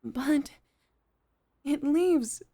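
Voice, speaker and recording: A woman playing a poised, gentle head maid off duty in an intimate ASMR roleplay, speaking with formal, measured politeness. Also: sits high, heavily acted. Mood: calm, confiding.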